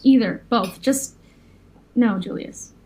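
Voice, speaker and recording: A woman's voice: a little high, slow, a little mellow. A woman playing a cautious, responsible fire-powered supervillain in an audio drama, careful, firm and earnest.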